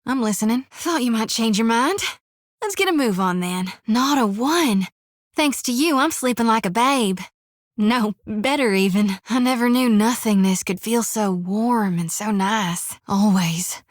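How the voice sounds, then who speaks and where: A woman's voice: on the high side, a little slow, dry recording, studio quality. A woman in her twenties voicing a young, stubborn soul-harvesting priestess who talks in a folksy, homespun way full of rural sayings. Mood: reflective. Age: 20s. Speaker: game companion. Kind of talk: game voice acting.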